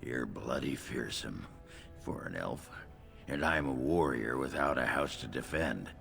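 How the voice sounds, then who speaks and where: Very deep gravelly dwarf warrior. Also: male voice, unhurried, a bit bright, soft background music, full character voice. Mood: gruff, friendly. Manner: rough, blunt, folksy. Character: gruff, crude dwarf warrior, boozy and blunt. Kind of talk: fantasy video game voice acting.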